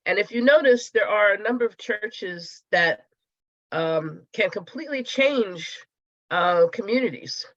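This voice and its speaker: A woman grant writer introducing herself on a call, congested from a cold, speaking in a slightly halting way with fillers. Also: mid-range voice, expressive, fairly bright tone, no room sound. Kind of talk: casual self-introduction on a call. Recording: dull, dry sound.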